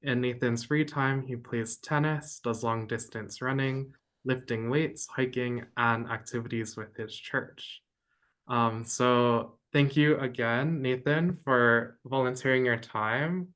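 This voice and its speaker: A man hosts a program and introduces a guest speaker. He reads in a measured, formal way, then gives warm thanks. He sounds like he is on a video call. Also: mid-range voice, steady tone.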